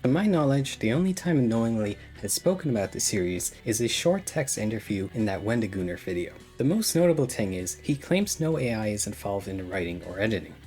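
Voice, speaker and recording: A man's voice: on the low side, very animated, a little fast, hardly any pauses, darkish tone, soft background music. A man narrating a scripted video essay as a commentator, critical and sarcastic in tone. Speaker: YouTube commentator.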